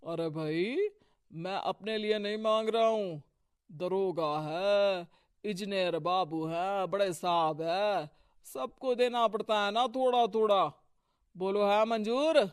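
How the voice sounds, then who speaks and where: A man's voice: high-pitched, slow, clean tone. A man voicing characters in a cartoon moral story with a bossy, scheming manner. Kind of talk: cartoon voice acting.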